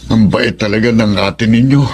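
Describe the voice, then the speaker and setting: An actor playing a kindly middle-aged father in a Tagalog radio drama, gently admonishing, with a Filipino accent. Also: on the low side, fairly even intonation, moderate pace, a little hiss or hum, natural voice. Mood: fatherly, tender.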